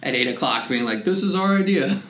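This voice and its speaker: Man, mid-pitched, relaxed joking co-host, echoey room. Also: fairly quick. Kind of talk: casual podcast chat.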